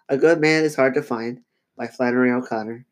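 Male podcast co-host with a cold, slightly nasal; reflective, halting, full of ums and restarts. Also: mid-range voice. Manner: halting, many fillers and restarts; reflective, thinking aloud. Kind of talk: casual podcast discussion.

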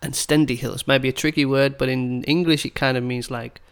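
Gravelly man hosting a chat. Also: medium pitch, fairly even intonation. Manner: switches between English and Danish, explains words slowly. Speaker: podcast host teaching Danish. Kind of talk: casual language-learning chat.

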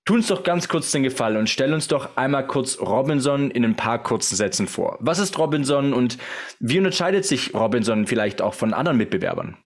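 A man's voice: medium pitch, expressive, fairly quick. A man hosting a podcast, reading an upbeat intro and asking questions in a friendly, casual manner, laughing along with his guest. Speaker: podcast host.

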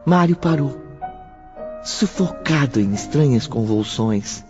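A man's voice: mid-range voice, animated, slow. A man acting as the reflective narrator of a radio drama, in a literary, theatrical manner. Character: reflective narrator, recounting memories of a friend's circle. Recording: dull lo-fi radio drama, music behind.

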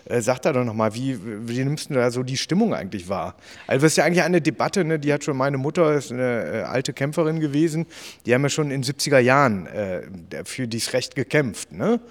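A man's voice: medium pitch, expressive. Man chatting casually in a reverberant room. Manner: colloquial, halting, wry. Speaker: podcast host, politician. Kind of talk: casual political chat.

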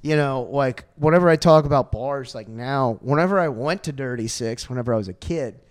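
A man's voice: mid-range voice, brisk, no echo, studio quality. A man in his twenties joking and telling stories in crude, casual podcast chat. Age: 20s.

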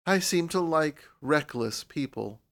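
A young woman writer from an audiobook, voiced by a man, proud and reserved. The voice is lifted and soft, cool on the surface with quiet desperation underneath. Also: on the high side, animated, measured pace, pitched above the performer's own voice. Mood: sober, wistful. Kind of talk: audiobook character voice.

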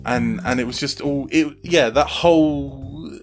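A man with a mid-pitched voice talks casually as an interview guest. He is animated but halting, circling back and repeating words. Faint music sits in the background. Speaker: podcast guest, audio fiction writer.

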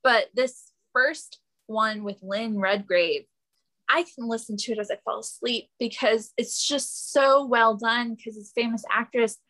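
A woman's voice: fairly high pitch, unhurried, sharp tone, low-quality recording. A woman guest on a podcast, chatting in an enthusiastic, informal way. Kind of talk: casual chat.

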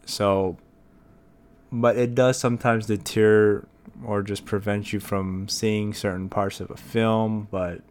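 A man's voice: lowish voice, level tone, slow, a little mellow, a little hiss or hum. A young American man hosting a casual solo podcast, rambling with many fillers and restarts. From United States. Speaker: podcast host.

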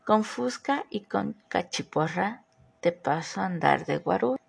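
Slow, gravelly Mexican woman podcaster. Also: mid-range voice, animated. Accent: Mexican Spanish, mixed Mexico City, Morelos and northern. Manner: chatty, asks listeners questions. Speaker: podcast host. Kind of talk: casual podcast monologue.

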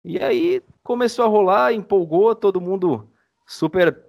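A man's voice: high-pitched, sing-song, a little slow, gravelly, darkish tone. A Brazilian man chatting casually as a guest in a podcast interview. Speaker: podcast guest, audio drama creator.

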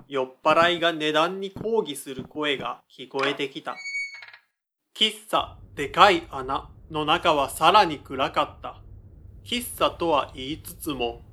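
A man acting as a young male narrator in an audio drama, his voice very bright and forward, pitched a little high. He tells his own story in measured, understated literary prose, with a deferential streak toward his elders. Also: a little hiss or hum.

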